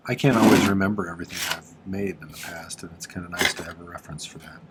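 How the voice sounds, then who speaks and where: A man with a slightly nasal, congested voice giving a relaxed, chatty how-to lesson on a craft in his workshop, with plenty of "uh" fillers. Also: deep voice, fast talker, heavy background noise. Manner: relaxed and chatty, with frequent "uh" fillers and small laughs. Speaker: silversmith teaching on his channel. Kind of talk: casual how-to narration.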